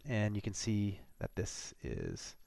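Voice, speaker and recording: Low-voiced man with a creaky edge. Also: wildly swooping pitch, warm tone, no room sound. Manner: casual, halting, thinking aloud. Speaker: software demo presenter. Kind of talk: informal screencast product walkthrough.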